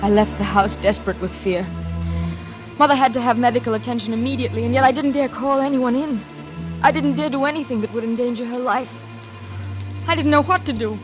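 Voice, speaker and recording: An actress playing an anxious, trapped daughter in a 1940s suspense radio drama, tense and dramatic, halting when flustered. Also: female voice, on the high side, close to an everyday voice. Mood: fearful. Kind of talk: 1940s radio acting, first-person suspense narration. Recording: vintage radio drama with music behind.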